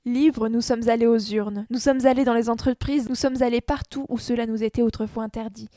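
Female narrator for a literary radio piece: fairly high voice, flat even delivery, dry close sound. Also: brisk, dry recording.

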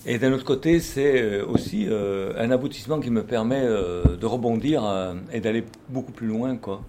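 An older man from France talks his way through his ideas slowly, pausing on fillers and restarting sentences as he searches for words. His pitch sits in an ordinary middle range. A faint hum of ambience stays underneath the voice. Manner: hesitant, many fillers and restarts. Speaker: activist speaker. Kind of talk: unscripted spoken reflection.

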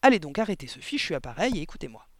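Man acting young woman teacher, storybook, raised pitch, courteous, firm, articulate. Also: expressive, a little fast, gravelly, a little mellow, no room sound, studio quality. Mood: stern. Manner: polite, articulate, insistent. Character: frail young woman schoolteacher, polite but firm.

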